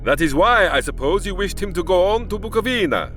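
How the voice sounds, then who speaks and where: A tall, sinister coachman in a gothic audio drama. His voice is sharp and forceful, swinging widely in pitch, courteous on the surface and commanding underneath. Faint music sits behind him. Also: animated, fairly quick. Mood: mocking, menacing. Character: tall sinister bearded carriage driver, eerily courteous and commanding.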